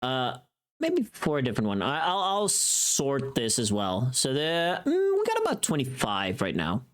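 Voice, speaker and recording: A streamer chatting casually and playfully on a livestream, thinking aloud in an expressive way. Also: medium pitch, normal speed. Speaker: livestreamer and voice actor making a voice pack.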